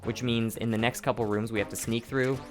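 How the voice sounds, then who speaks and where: A man with a hoarse, sore throat giving casual commentary over his own speedrun, explaining each step, often restarting his sentences. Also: mid-range voice, steady tone, a little fast, soft background music. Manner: explanatory, rambling, with frequent restarts and profanity. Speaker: gameplay commentator.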